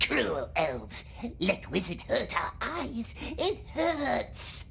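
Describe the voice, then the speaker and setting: Wretched, whispering, hissing creature, wheedling, drawn-out delivery; fan audio drama. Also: female voice, on the high side, wildly swooping pitch, vocal fry, a bit bright, exaggerated voice. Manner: hissing whispers, talks of himself as we. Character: Gollum, wretched hissing creature, cringing and sly.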